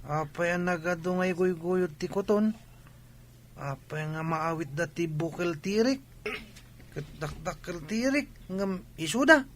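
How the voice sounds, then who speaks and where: A man's voice: high voice, a little slow, a bit bright, slight background noise. A man with a Filipino accent playing a cheeky, inquisitive village boy in a comedy radio drama. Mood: inquisitive, teasing.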